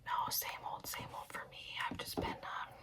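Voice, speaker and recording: Whispering young woman playing a chatty nail tech. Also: moderate pace. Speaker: nail technician in a roleplay. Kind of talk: ASMR roleplay.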